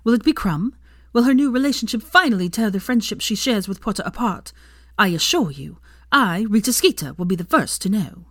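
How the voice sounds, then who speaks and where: A woman acts out a gossipy female journalist reading a scandalous column in an audiobook story. Her delivery is snide and insinuating, with animated pitch over a very dry recording. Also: fairly quick, dry recording. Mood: speculative, catty. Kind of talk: scripted newspaper column read aloud.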